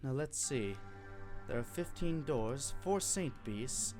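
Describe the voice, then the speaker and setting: Man voicing a calm, pedantic young fighter. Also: medium pitch, music in the background. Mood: calmly analytical. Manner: measured, deadpan reasoning. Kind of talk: anime parody dub.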